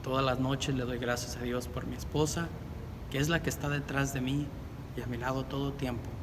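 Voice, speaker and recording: Earnest middle-aged man, echoey rumble. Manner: earnest, measured, reflective. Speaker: deacon candidate. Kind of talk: personal faith testimony. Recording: reverberant with loud rumble.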